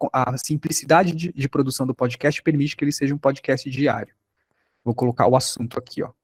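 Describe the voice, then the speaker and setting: A man's voice: mid-range voice, no echo. A Brazilian man teaching a podcast workshop in an informal, conversational way over an online video call.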